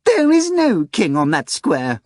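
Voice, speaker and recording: A man's voice: sits high, wildly swooping pitch, measured pace, darkish tone, no echo, studio quality, pitched above the performer's own voice, heavily acted. A man voicing a sly, theatrical talking cat who plays chess, wheedling and melodramatic. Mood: mock horror. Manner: falsely anxious, wheedling, melodramatic.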